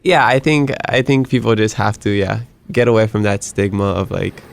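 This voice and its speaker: Gravelly low-voiced man hosting. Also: moderate pace. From Hawaii. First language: Hawaiian. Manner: earnest, frequent self-restarts and stammered repeats. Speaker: podcast host. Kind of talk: casual conversational interview.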